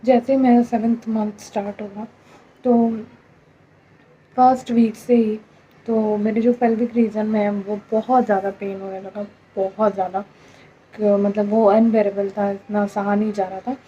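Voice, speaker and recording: A young Indian woman vlogging to camera, hoarse from a throat infection, speaking in a tired, plaintive way and mixing in English words. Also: medium pitch, level tone, measured pace, dark tone, highs cut off. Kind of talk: casual talk to camera.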